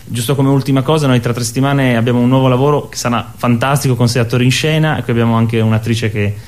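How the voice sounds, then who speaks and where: A man's voice: medium pitch, fairly even intonation, quick pace, a little mellow. A man speaking as a theatre guest in a casual radio interview, explaining eagerly in a rambling way. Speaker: theatre-maker, studio guest.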